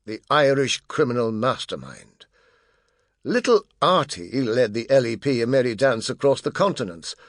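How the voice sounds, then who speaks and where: Animated male audiobook narrator, dry close sound. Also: mid-range voice, no room sound. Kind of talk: expressive scripted narration of a children's fantasy audiobook.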